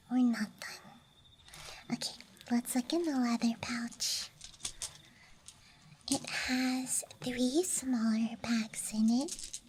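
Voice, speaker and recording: A woman speaking in a breathy, mostly unvoiced ASMR whisper, rambling gently and softly close to the microphone. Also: fairly high pitch, noisy background. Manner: soft, hushed, gentle rambling. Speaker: ASMR streamer. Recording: night nature ambience, clicking and rattling sounds. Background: night campground ambience, dice and case clicks.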